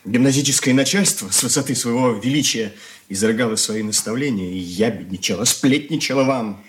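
An actor playing a troubled, ashamed young son in an earnest radio drama confession. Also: male voice, mid-range voice, fairly quick, lightly acted. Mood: anguished. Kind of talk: Soviet radio play acting.

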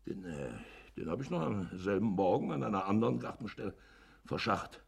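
An actor in a German radio play playing a shifty village innkeeper who justifies himself in a hesitant, wordy way. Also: male voice, fairly low pitch, animated, brisk, gravelly, breathy edge, heavily acted. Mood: evasive, ingratiating. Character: shifty, smooth-talking village innkeeper hiding a crime.

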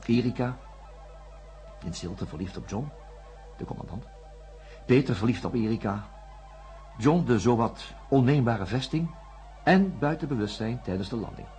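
A male actor as a reflective narrator of a space disaster tale, speaking deliberately and pausing for effect. His pitch moves expressively, and music plays behind him in this radio drama. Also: lively intonation, a little mellow, close to an everyday voice. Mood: measured, grave. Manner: deliberate storytelling with rhetorical pauses.

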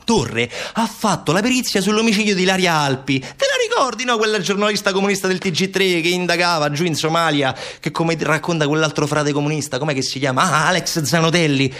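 A man's voice: fairly high pitch, brisk, hardly any pauses. A man playing an evasive, sarcastic official in a colloquial, theatrical satirical monologue. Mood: mock admiring. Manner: colloquial, sarcastic, animated rhetorical asides. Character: evasive southern Italian official mockingly defending dubious forensic experts. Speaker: stage actor. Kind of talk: theatrical satirical monologue, one side of a conversation.

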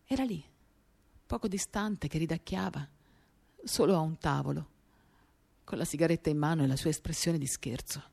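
A woman performing an expressive, theatrical first-person literary reading for radio, moving between animated and hushed delivery. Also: sits low, moderate pace, gravelly, pauses often. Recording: acoustic guitar accompaniment. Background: guitar music.

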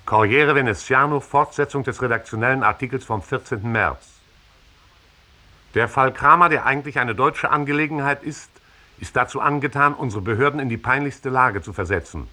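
Bright, forceful newspaper reader. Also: male voice, medium pitch, a little fast. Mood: brisk, official. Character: formal, crisp male reader of newspaper editorial. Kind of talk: formal scripted narration in radio drama.

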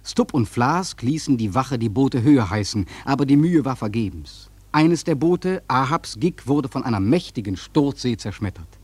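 A grave sailor narrates a radio drama in a very husky voice. His delivery is solemn, measured and literary, in a very dry, close sound. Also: mid-range voice, lively intonation, darkish tone, dry recording. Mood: grave, ominous. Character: male sailor recounting a terrible storm, grave, awed.

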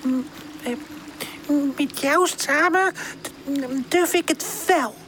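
A woman's voice: very high-pitched, very animated, a bit bright, a little hiss or hum, voice pushed up, heavily acted. A woman voicing a small, eager but timid little boy animal in a children's storybook, childlike and trusting. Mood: scared, hesitant. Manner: childlike, halting when scared.

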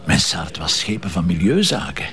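An actor with a Flemish accent playing a curt, impatient police commissioner who questions and commands in a radio detective drama. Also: male voice, deep voice, very animated, moderate pace, creaky, sharp tone, heavily acted. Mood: questioning. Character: brusque, probing, impatient middle-aged police commissioner.

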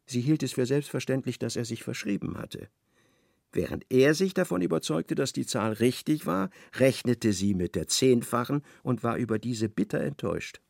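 Ein Mann als Erzähler eines literarischen Hörspiels. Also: male voice, mid-range voice, animated, normal speed, vocal fry, a little mellow, no room sound, studio quality. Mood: measured narration. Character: expressive male narrator of a literary radio play. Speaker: narrator. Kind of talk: literary radio play narration.

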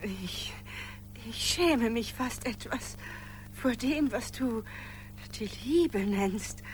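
An actress in a German radio play, playing a fickle, disillusioned young sweetheart with a dreamy, dismissive manner. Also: female voice, on the high side, expressive, extremely slow, a little hiss or hum. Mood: disdainful, awed.

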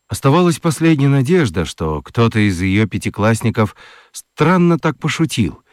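A male narrator tells a children's story for radio. His voice is on the low side and moves expressively up and down, recorded close and dry. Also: dry recording.

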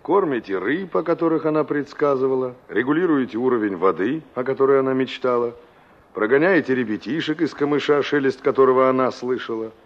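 A man's voice: mid-range voice, lively intonation, fairly quick, close to an everyday voice. Man acting nostalgic young engineer in old soviet radio drama, really varied intonation, probing, muffled tape.

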